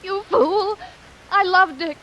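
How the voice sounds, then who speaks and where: Female high-voiced noir femme fatale, desperate and dramatic, on hissy vintage radio. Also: slow, sharp tone, muffled recording, exaggerated voice. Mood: impassioned, upset. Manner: emotional, pleading, dramatic. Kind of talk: 1940s radio melodrama acting.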